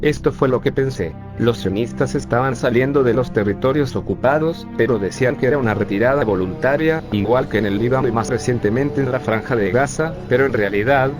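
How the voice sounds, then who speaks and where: Synthetic guy doing a bitter sarcastic ex-refugee, average pitch, music playing loud underneath. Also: fairly quick, hardly any pauses, natural voice. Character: urban planning professor recalling his youth. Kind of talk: scripted narration.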